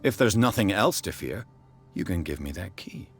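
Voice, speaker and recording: An actor playing a composed, persistent private detective in a video game, speaking in a measured, probing, firm way. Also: male voice, deep voice, expressive, soft background music. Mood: firm, persuasive. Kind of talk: video game cutscene acting.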